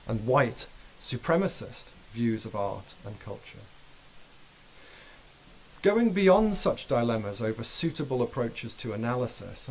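A man giving a formal academic keynote in a dim lecture hall, reading dense scholarly prose at a lectern in a measured way. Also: mid-range voice, a little mellow, slight background noise. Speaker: keynote speaker.

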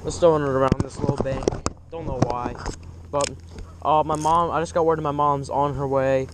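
A man's voice: mid-range voice, steady tone, normal speed, low-quality recording. A teenage boy talking casually while fishing from a kayak, rambling with lots of fillers, recorded on a head-mounted camera outdoors. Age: teens. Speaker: fishing vlogger. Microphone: head-mounted action camera. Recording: outdoor noise on an action cam.

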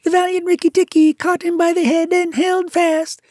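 A woman puts on a giddy little male songbird with a piercing, sing-song squeal. He is loud and shrill, gushing praise. The room is dry and close. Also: level tone, normal speed, dry recording. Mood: exultant. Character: giddy little male tailorbird, boastful singer.

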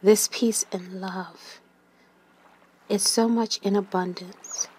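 A woman leading a guided meditation in a gentle, soothing manner, with long pauses and breathy phrase endings that trail away. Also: medium pitch, fairly even intonation.

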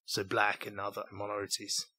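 A man's voice: on the low side, completely flat delivery, normal speed, bright, forceful tone, husky. A young British man from southeast England, hosting his solo podcast in an earnest, reflective storytelling manner. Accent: British English. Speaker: podcast host.

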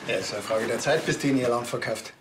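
An actor playing a dry, needling rural police officer with a Bavarian accent in a TV crime comedy. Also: male voice, mid-range voice, fast talker, slight background noise, natural voice. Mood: sardonic. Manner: dry, sardonic.

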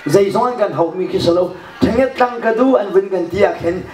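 A middle-aged man preaching a sermon into a handheld mic in a church hall, animated and often laughing. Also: sits high, fairly quick, slight background noise. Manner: animated, gesturing with one hand, often laughing. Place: church hall with a projection screen. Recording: echoey hall, recorded from far off.

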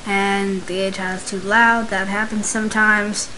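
A speaker giving a casual, unscripted step-by-step software tutorial, recorded at home. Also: mid-range voice, flat delivery, unhurried, rough recording. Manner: informal, unscripted step-by-step narration. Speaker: tutorial maker. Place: indoors, home computer screen recording. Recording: hissy, clicky home microphone recording.

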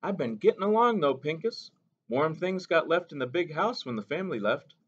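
A man's voice: fairly high pitch, expressive, moderate pace, fairly bright tone, husky, voice pushed up. A man voicing a devout older woman, a doting mother who speaks in a fervent, lilting way. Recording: dull lo-fi recording.

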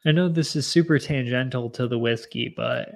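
A man in his mid-twenties hosting a casual live stream, rambling and self-deprecating as he chats with viewers from a home bar on a boom mic. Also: moderate pace, gravelly. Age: 20s. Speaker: livestream host. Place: home bar with bottle shelves, indoors. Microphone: boom mic in front of face.

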